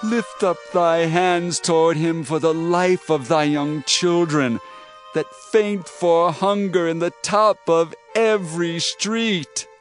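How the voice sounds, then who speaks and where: A man's voice: on the high side, takes it slowly. A man giving an earnest, exhorting dramatized reading of scripture. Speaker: Bible reader. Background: instrumental background music.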